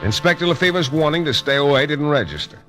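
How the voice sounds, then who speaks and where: A man's voice: a little high, lively intonation, fast, lightly acted. An actor playing a wry, hard-boiled American private detective, narrating his case in a 1940s radio drama style. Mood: tense. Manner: wry, world-weary storytelling. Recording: old radio broadcast with music behind.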